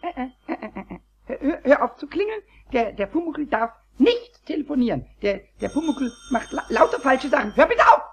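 Casting: squeaky, cackly kobold imp, high and swooping, whiny, proud, stammering, children's audio drama. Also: male voice, moderate pace, exaggerated voice. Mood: agitated, flustered. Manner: stammers and repeats words excitedly. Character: mischievous little kobold boy, excitable, whiny, proud. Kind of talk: children's radio play acting.

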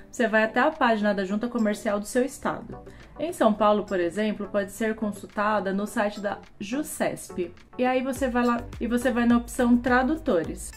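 Young female vlogger, Brazilian Portuguese accent, lively explainer at a desk webcam, faint music bed. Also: mid-range voice. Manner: lively, gesturing with both hands. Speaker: YouTuber. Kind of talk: explainer vlog to camera. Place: small home office, indoors.

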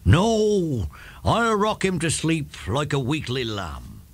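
An actor playing a gruff but kindly country shepherd who scolds, in a children's audio drama. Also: male voice, fairly high pitch, wildly swooping pitch, slow, heavily acted. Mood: puzzled, kindly.

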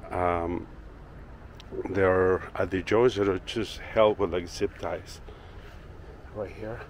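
A deep-voiced man ambles through his backyard, chatting loosely about his plants with plenty of "uh" and "like." He talks slowly into the camera he is holding. There is a faint hiss of outdoor ambience behind him. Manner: rambling, filler-heavy, relaxed. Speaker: woodworking channel owner. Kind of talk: casual walking tour vlog. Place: backyard garden outdoors, then indoor workshop. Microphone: handheld camera held by the speaker.

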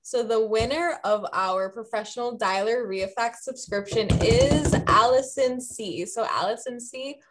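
A woman on the webinar staff making a quick, upbeat announcement on a video call. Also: a little high. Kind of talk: casual announcement.

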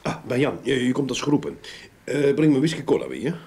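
A man playing a gruff, teasing, brusque private detective in a radio drama. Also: medium pitch, sing-song, quick pace, a little mellow, soft background music, natural voice. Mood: brusque, impatient. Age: 40s. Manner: brusque, offhand. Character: gruff, teasing private detective in his forties, pipe smoker. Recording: faintly noisy old radio recording.

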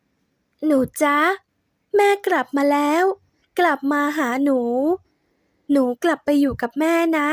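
A woman's voice: slow, pauses often, dry recording, studio quality. A woman voicing a young mother who pleads anxiously to get her child back. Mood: pleading. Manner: high pleading tone.

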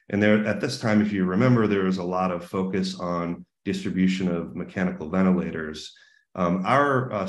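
A man giving a keynote presentation over a webinar, speaking earnestly with many fillers and restarts. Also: low voice. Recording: roomy echo.

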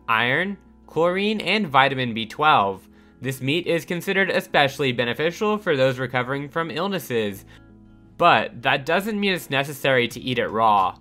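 A man reading a scripted countdown voice-over with upbeat delivery over soft background music. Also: mid-range voice. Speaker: narrator of a countdown channel.

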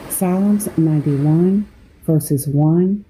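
Low, slow, mellow woman guiding sleep meditation. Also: slight background noise, low-quality recording. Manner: unhurried, measured delivery with pauses between phrases. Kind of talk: guided sleep meditation with scripture reading.

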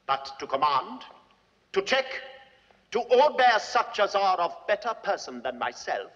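A scheming, bitter hunchbacked duke speaks slow, bright and breathy Shakespearean verse that swings widely in pitch. His sardonic lines erupt into sudden intense outbursts. It sounds like a dull old film soundtrack with a long, hall-like reverb. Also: heavily acted. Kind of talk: classical Shakespearean film soliloquy.

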